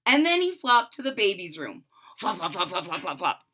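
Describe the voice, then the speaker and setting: A woman's voice: on the low side, very animated, normal speed, sharp tone, slight background noise. A woman reading a children's storybook aloud, expressively, with explanatory asides to young listeners. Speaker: storybook reader.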